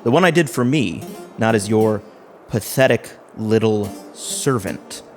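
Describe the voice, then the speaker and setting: A man's voice: lowish voice, expressive, a little slow. A man acting in an audio play as a resentful husband turned scheming killer, with a theatrical manner. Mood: smug, cold. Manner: theatrical, switching from pleading to smug. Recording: music behind the voice.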